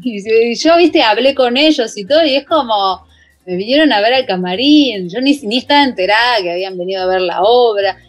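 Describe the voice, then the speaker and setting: A woman's voice: on the high side, brisk, faint music behind. A woman in her forties with an Argentine accent, chatting as a guest in an interview over a video call and often restarting her sentences. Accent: Argentine Spanish. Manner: animated, many restarts and fillers. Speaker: guest, musical theatre actress. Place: home room with bookshelves.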